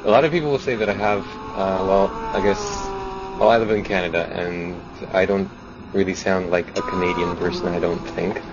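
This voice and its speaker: A man from Romania chatting casually on a race stream, speaking haltingly with many fillers. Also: deep voice, steady tone. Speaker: co-commentator. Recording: muffled audio with clear noise.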